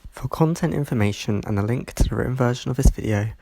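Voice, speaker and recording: A reviewer reading a scripted review in a measured way, sounding stuffy from hay fever. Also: mid-range voice, gravelly, warm tone, soft background music. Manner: measured, reads from a script with small slips. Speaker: video reviewer.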